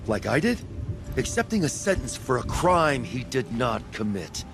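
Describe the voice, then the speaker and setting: An actor playing a proud, weary exiled tribesman in a video game, with a wry, halting, reflective delivery. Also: medium pitch, sing-song, creaky, music in the background. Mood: defiant.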